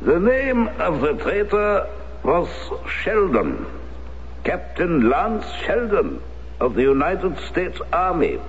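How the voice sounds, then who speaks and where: An aging former SS colonel in a 1970s radio drama, polite on the surface and cold beneath. He speaks slightly high, with an animated, swooping pitch, and pauses hesitantly. The old broadcast recording sounds muffled with a faint hiss. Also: heavily acted. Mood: coolly polite. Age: older.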